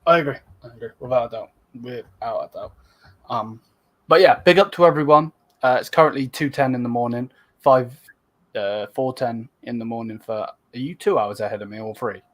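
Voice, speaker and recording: A man's voice: medium pitch, moderate pace. A man, hosting a casual late-night livestream chat, laid-back and swearing casually as he urges viewers to subscribe. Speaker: channel host.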